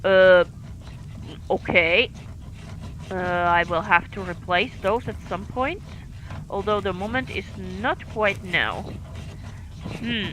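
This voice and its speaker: A woman from Finland with a light Finnish accent, commentating her own live game stream, halting and filling gaps with fillers as she thinks aloud while playing. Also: mid-range voice, fairly bright tone, poor audio. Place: home in France.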